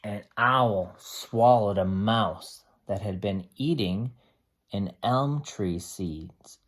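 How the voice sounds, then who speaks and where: A teacher reading a picture book aloud to young children, explaining things simply with expressive asides. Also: deep voice, drawn-out words.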